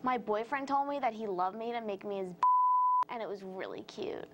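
A young woman doing a ditzy Valentine's Day fan, gushing in a high, bouncy voice that swings all over. A faint hiss sits under it. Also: wildly swooping pitch, moderate pace, pushed and bright, muffled recording, full character voice. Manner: bubbly, airheaded. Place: student TV studio set.

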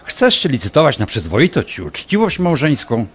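An actor playing a smug, obsessive doctor in an audio drama, taunting and insinuating as a manipulative ex-lover. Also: male voice, medium pitch, wildly swooping pitch, normal speed. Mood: insinuating, pressing. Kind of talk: audio drama acting, dialogue.

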